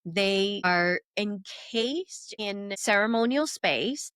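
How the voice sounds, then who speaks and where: A woman from Venezuela speaks as a guest in an unhurried spiritual conversation. She is reflective and loose, with a middling voice. Her voice is recorded close and dry. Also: medium pitch, expressive, a bit bright, clean tone, no room sound.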